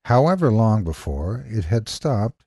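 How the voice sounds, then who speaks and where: A man reading a scripted horror story as narrator, with a husky voice and deliberate, dramatic pauses. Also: fairly low pitch, very animated, dark tone, no room sound, clean studio sound.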